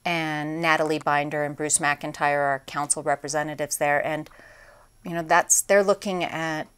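A woman in her forties, a town mayor, answering interview questions in a measured, upbeat way in a small studio. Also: deep voice, steady tone, fairly bright tone, smooth. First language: English. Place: small TV studio set, indoors.

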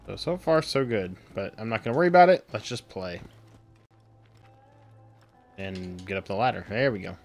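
A man in his thirties with a raspy, sore voice, rambling through casual live-stream commentary at home with lots of ums. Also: fairly low pitch, normal speed, pauses often, darkish tone, faint music behind. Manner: rambling, full of ums and uhs. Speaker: game streamer.

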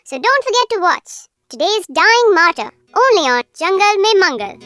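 A woman's voice: very high-pitched, sing-song, normal speed, sharp tone, no echo, heavily acted. A woman voicing a little cartoon squirrel television reporter, earnest and gushing. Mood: grandly reverent. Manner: gushing presenter delivery.

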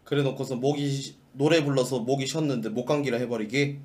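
A man's voice: mid-range voice, steady tone, a little fast, a little mellow. A young man hosting a live stream from his desk, speaking in stiff, formal polite speech as a dry, teasing mock boss to a caller. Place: small room at a desk, indoors.

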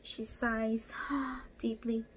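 A young woman reading a picture book aloud expressively at home, pausing to ask child listeners questions. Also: on the high side, flat delivery, slightly rough, poor audio. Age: young adult. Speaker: storyteller for children. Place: small room at home, indoors.

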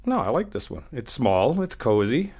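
A man playing an easygoing, flirty hotel guest in an audio roleplay, chatting casually and haltingly in one-sided phone talk. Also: medium pitch, sing-song, darkish tone, husky. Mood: casual, weary. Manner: casual, halting, slangy, swearing.

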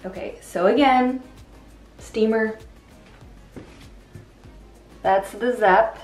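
A woman's voice: a little high, extremely slow, darkish tone. Chatty woman vlogger, roomy echo. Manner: chatty and upbeat, with small fillers. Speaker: cleaning vlogger. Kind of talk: casual voice-over commentary. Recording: echoey room with faint background music.

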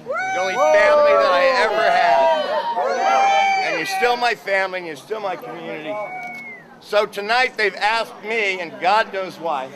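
A man giving a loud, heartfelt speech to a crowd. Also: sits high, very animated, a little slow, heavy background noise. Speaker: ceremony leader.

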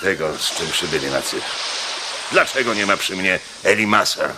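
An actor playing an ageing Roman proconsul in a radio drama, brooding, weary and grandiose, with a gravelly voice. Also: male voice, low voice, lively intonation, normal speed, very noisy. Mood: resigned. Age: older. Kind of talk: theatrical radio drama acting.

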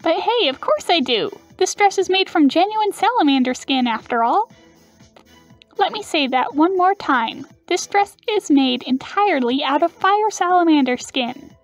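Woman doing a brash, high-voiced demon pop diva. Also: expressive, normal speed, faint music behind. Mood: proud. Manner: showy, boastful. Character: brash, vain young female demon metal idol.

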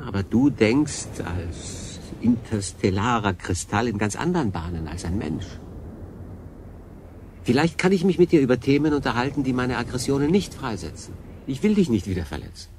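Troubled castaway sailor, mid-pitched. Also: male voice, very animated, darkish tone, a little hiss or hum. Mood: pensive. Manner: earnest, deliberate, dramatic pauses. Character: stranded solo sailor, thoughtful, troubled, earnest man. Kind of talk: German radio play acting.

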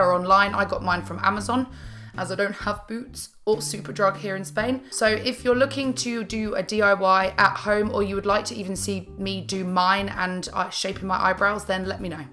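Thirties woman vlogger, a touch croaky, mid pitch, chatty and enthusiastic, close camera mic, faint music behind. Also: sharp tone. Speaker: beauty vlogger. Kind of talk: casual favourites haul chat. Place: small bright room at home. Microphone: camera mic, close framing.

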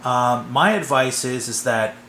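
A man's voice: mid-range voice, unhurried, roomy sound, a little hiss or hum. A man giving a casual hands-on tutorial at a workbench, rambling and often checking in with "okay?". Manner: relaxed, rambling, frequent "okay?" check-ins. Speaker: hobby model builder. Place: workbench in a home workshop.

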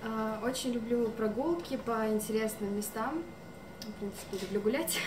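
A young woman with a slightly high voice gives an informal introduction, hesitant and thinking as she goes. She is some way from the mic in a noisy, echoing cafe. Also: moderate pace, distant mic. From Leningrad Oblast, Russia. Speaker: student introducing herself at a meeting. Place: indoor cafe, seated at table, camera mic at a distance.

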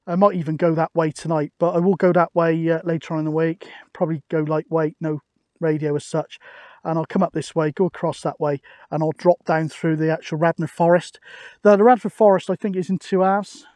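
A man's voice: fairly high pitch, little pitch movement, fairly quick, darkish tone, no echo, studio quality. A British man chatting casually and matily outdoors, as a radio hobbyist making a video. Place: outdoors on an open hilltop.

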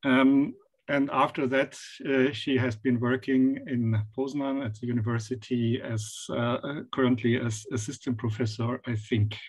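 A man introducing a guest speaker at an online seminar over a video call, halting, with many pauses. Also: mid-range voice, level tone, husky, low-quality recording. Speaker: event host introducing a speaker.

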